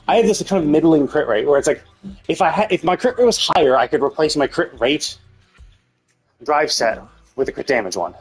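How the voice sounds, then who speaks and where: A man's voice: on the high side, poor audio. Man thinking aloud on a gaming stream. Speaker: live streamer.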